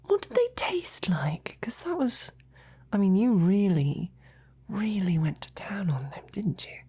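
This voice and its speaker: A woman playing a devoted, flirtatious female knight in an intimate roleplay, with a breathy, husky, often whispering voice and courtly phrasing. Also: medium pitch, big pitch swings, measured pace, dark tone, heavily acted. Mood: amused, flustered. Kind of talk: intimate roleplay audio, ASMR.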